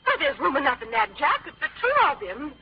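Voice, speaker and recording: A woman's voice: sits high, huge pitch swings, a little fast. A woman playing an anxious, overprotective mother with a fretful, melodramatic manner in a vintage radio drama. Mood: scandalized. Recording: dull, lo-fi vintage radio recording.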